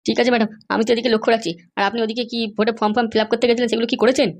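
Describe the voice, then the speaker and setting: A woman's voice: fairly high pitch, level tone, racing. A woman voicing an anxious, obedient accomplice in a comedy cartoon, speaking in a worried and deferential way. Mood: deferential, questioning. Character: anxious, obedient accomplice serving a scheming madam.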